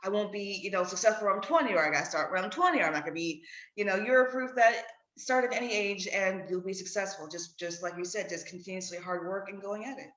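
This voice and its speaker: Female interviewer for a talk podcast, affirming and conversational, mid-pitched, in a reverberant room. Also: fast, fairly bright tone. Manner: affirming, echoes and agrees with guest. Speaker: podcast host interviewing a guest. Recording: dull audio in echoey room.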